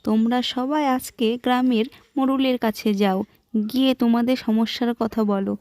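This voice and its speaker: A woman's voice: a little high, fairly even intonation, normal speed, a little mellow, no room sound, clean studio sound, natural voice. A woman voicing a practical, bossy village wife and mother in a cartoon, with a directive manner. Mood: practical, directing. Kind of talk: cartoon voice acting.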